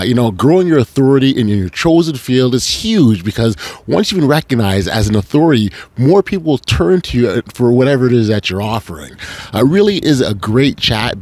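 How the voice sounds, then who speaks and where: A man hosts a podcast in a middle-pitched voice, effusive and gushing toward his guest. He often stumbles over repeated words as he restarts his sentences. The sound is close and dry. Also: dry recording. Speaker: podcast host. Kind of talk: podcast intro and interview chat.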